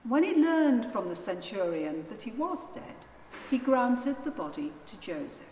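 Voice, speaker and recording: A woman narrating a Gospel in a solemn, measured and deliberate reading style. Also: fairly high pitch, sing-song, a little mellow. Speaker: scripture reader, narrator. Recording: echoey church hall, faint noise.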